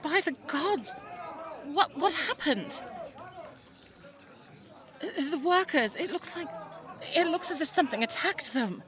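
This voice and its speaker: A woman's voice: high voice, animated, slow, pauses often, a crowd in the background. Woman acting a well-spoken, headstrong young English daughter, outdoor bustle. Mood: alarmed. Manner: well-spoken, formal period phrasing. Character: young, headstrong, caring English daughter at a 1920s Egyptian dig. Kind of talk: scripted audio drama acting.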